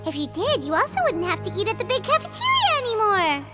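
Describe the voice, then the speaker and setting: A woman's voice: extremely high pitch, huge pitch swings, quick pace, exaggerated voice. A woman voicing a tiny, chirpy, childlike fairy companion in a video game, curious, blunt and exclamatory. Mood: curious, perky. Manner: refers to self in third person, exclamatory. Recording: music behind the voice.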